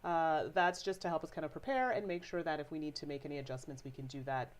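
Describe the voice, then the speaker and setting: A woman co-hosting a casual board game livestream, stuffy and sniffly from a sinus infection, chatty and quick to laugh. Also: low voice. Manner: friendly, chatty, laughs easily. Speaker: co-host.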